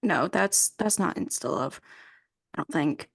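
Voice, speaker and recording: Woman cohost, slow and gravelly, voice swoops up and down, mutters to herself. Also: sits low, lively intonation, no room sound. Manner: muttering clues to herself while thinking. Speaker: podcast co-host. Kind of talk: casual chat, guessing game.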